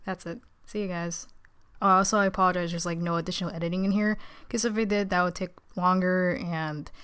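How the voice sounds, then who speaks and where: A teenage girl with a naturally low voice, tired and subdued, giving a casual, rambling, hesitant update as a vlogger. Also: steady tone, a little fast, a bit bright, clean tone. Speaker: video channel creator.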